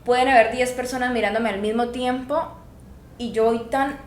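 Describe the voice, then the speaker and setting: A woman's voice: fairly high pitch, fairly even intonation, moderate pace, slight background noise. A woman in her twenties with a Colombian Spanish accent, co-hosting a podcast and telling her own stories in a chatty way, laughing often. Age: 20s. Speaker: podcast co-host. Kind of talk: casual chat. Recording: echoey room with faint ambience.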